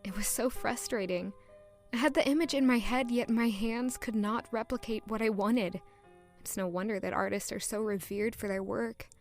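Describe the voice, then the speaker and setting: A woman's voice: on the high side, steady tone, moderate pace, faint music behind, natural voice. A woman playing a flustered, self-critical young woman in a romantic period fantasy audio drama, with formal, self-deprecating wording. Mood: wistful, frustrated. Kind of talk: audio drama acting with first-person narration.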